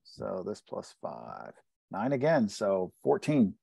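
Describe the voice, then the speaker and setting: Male tabletop player, casual, animated pitch, very dry close sound. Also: takes it slowly, gravelly, husky, dry recording. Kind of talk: casual game-table chat.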